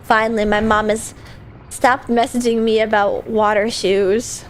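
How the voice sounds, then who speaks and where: A woman's voice: fairly high pitch, little pitch movement, a little slow, slight background noise. A young woman streaming a game while sick, chatting in a playful, giggly, offhand way. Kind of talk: casual gameplay chat.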